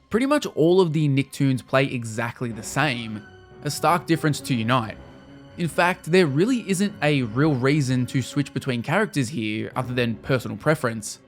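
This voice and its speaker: A man narrating a scripted video game review in a casual, wry manner with the odd mild swear. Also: medium pitch.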